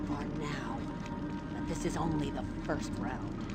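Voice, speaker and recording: A woman's voice: sits low, a little slow, gravelly. A woman playing a bitter, defiant leader of underground outcasts in a video game, theatrical and scornful. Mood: menacing, grudging. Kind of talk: dramatic video game villain acting. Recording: loud music behind the voice.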